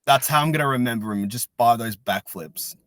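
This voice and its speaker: A man's voice: medium pitch, fairly quick, fairly bright tone, no room sound, studio quality. A man hosting a casual podcast chat, rambling and often repeating and restarting his phrases. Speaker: podcast host.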